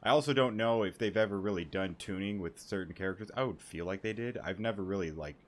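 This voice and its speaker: A man giving casual let's-play commentary, rambling and halting, with a joking manner. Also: fairly low pitch. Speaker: gaming YouTuber. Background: game music.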